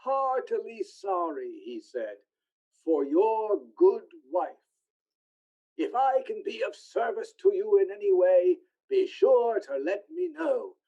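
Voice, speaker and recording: An actor playing a poor, gentle clerk and grieving father in a radio play, with halting, emotional delivery. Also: high voice, very animated, exaggerated voice. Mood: fond, cheerful. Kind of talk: stage-style radio play acting. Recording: slightly dull lo-fi recording.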